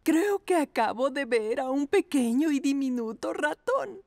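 An actress voicing a gentle mother pug, sweet and doting in a cartoon. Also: high voice, lively intonation, unhurried, dry recording, studio quality. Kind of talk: Spanish dub of a preschool cartoon.

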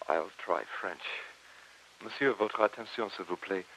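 Dignified scientist-narrator of vintage radio drama; deep, formal, measured delivery; hissy recording. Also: male voice, pushed and bright, low-quality recording. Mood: formal, appealing. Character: earnest, dignified French professor of marine biology. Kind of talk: old-time radio drama acting.

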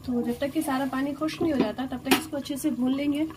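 A woman with a high voice walks her viewers through a recipe step by step in a chatty way. She is recorded on a phone over the stove in a home kitchen. A noisy recording leaves kitchen sounds behind her. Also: steady tone, fast talker. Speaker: cooking channel host. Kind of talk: step-by-step cooking demonstration.

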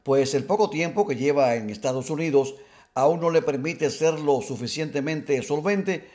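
A man's voice: a little high, darkish tone. Man reading a news report. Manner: measured, formal. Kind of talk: scripted news voice-over.

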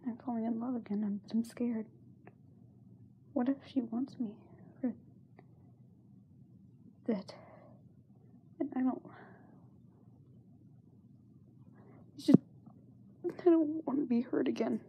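A young woman playing a frightened, self-doubting young singer in a halting diary monologue, often dropping to a whisper. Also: fairly high pitch, vocal fry, muffled recording. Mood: scared, sad. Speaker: amateur voice actor. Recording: noisy makeshift amateur microphone recording.

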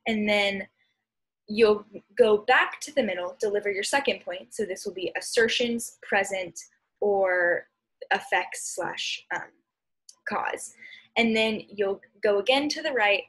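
A woman's voice: medium pitch, unhurried, a bit bright, breathy edge, poor audio. A young adult American woman giving an informal lesson over slides, explaining hesitantly with trailing asides, recorded on a computer mic at home. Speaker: speech and debate instructor. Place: small room at home, indoors. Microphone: computer mic on a video call.

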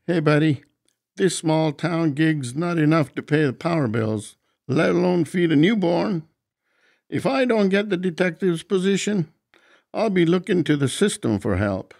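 A wry young highway cop in an audiobook acted by a man. His gravelly voice moves up and down freely as he probes in colloquial phrases. It is recorded very dry. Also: lively intonation, a little slow, darkish tone, no room sound. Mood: sly, angling. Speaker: voice actor in an audiobook. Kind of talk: dramatized audiobook dialogue.

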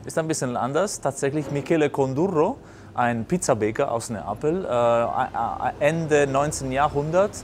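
Male, 40s, native Italian with Italian accent, restaurateur being interviewed, halting delivery, mid-range voice, noisy venue ambience. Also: mid-range voice, expressive. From Basilicata, southern Italy. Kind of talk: unscripted interview answers. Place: indoors in a restaurant bar. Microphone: lapel mic. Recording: clear background noise, restaurant ambience.

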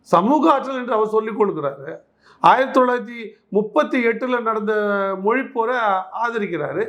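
A man's voice: high-pitched, fairly bright tone. A man giving political commentary in an interview, arguing emphatically, repeating phrases and posing rhetorical questions.

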